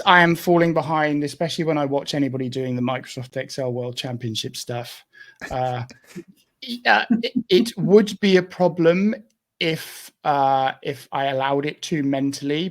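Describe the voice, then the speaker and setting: A man co-hosts a casual podcast chat and talks candidly. He pokes fun at himself and rambles through many restarts. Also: medium pitch. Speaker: podcast co-host.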